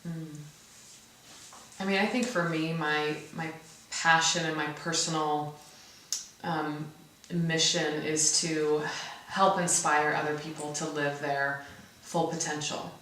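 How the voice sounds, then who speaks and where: A woman's voice: low-pitched, flat delivery, takes it slowly, fairly bright tone, slight background noise. A woman guest speaking reflectively and a little hesitantly in casual conversation. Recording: echoey room, distant mic.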